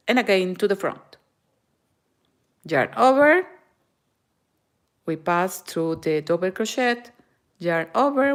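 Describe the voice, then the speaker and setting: Woman, Peruvian Spanish accent, unhurried delivery, crochet livestream host, halting English with fillers. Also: mid-range voice. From Peru. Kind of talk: live-stream crochet tutorial and chat. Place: home in Germany.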